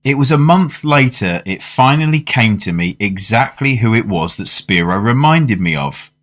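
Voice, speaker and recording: A man's voice: medium pitch, lively intonation, normal speed, a bit bright. An older man with a British accent playing a genteel, reflective diner who narrates a macabre tale in a radio drama. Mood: reflective narration. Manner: courteous, literary storytelling. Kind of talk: fan-made radio drama, first-person narration and dialogue. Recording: music under the voice.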